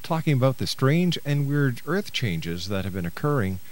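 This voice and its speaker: A man's voice: medium pitch, big pitch swings, normal speed. A middle-aged man hosting a radio show, speaking as an interviewer.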